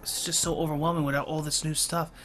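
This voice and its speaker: Bright-voiced man casually commentating a game. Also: on the high side, flat delivery, fast, a little hiss or hum. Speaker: gaming streamer. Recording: game music behind. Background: video game music.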